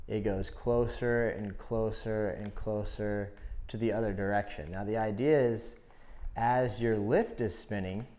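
A man's voice: fairly low pitch, warm tone. A man demonstrating a product in a conversational, step-by-step instructional style. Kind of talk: hands-on instructional explanation.

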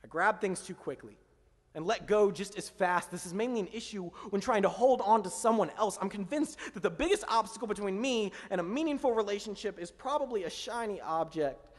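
Young guy doing slam poetry into a mic, fairly high voice, big reverby hall sound. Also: expressive, fairly quick. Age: young adult. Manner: rhythmic, emphatic delivery with sweeping hand gestures. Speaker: slam poet. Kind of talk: spoken word poetry performance. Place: small indoor stage in front of a window. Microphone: stand mic at mouth height.

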